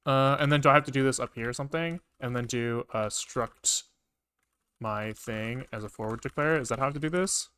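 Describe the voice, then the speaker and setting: Bright, clear-voiced man thinking aloud. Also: medium pitch. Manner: muttering to himself, halting, trailing off and questioning himself. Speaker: live-coding streamer, programmer. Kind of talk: casual thinking aloud while programming on a livestream.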